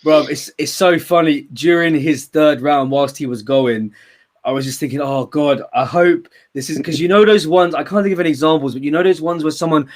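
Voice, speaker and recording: A man's voice: fairly high pitch, fairly quick. A young man hosting a battle rap livestream, talking casually and animatedly, swearing freely and laughing often. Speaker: host of a battle rap stream.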